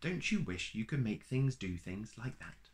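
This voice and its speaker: A man reading a children's picture book aloud in a slow, playful storytime manner, adding little asides to listeners. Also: on the low side, very animated.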